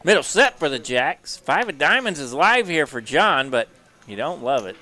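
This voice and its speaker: A man with a fairly high, bright, forceful voice gives poker color commentary. He is playful and jokes along with his partner. He talks from a commentary booth. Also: normal speed. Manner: playful, joking, excitable on big hands.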